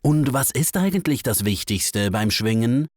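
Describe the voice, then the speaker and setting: A Swiss German man narrates comedy clips in an ironic, mock-earnest tone. His voice sits at an ordinary pitch for a man, and music runs clearly under it. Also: lively intonation, unhurried, darkish tone. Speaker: voice-over narrator. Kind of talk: scripted comic voice-over.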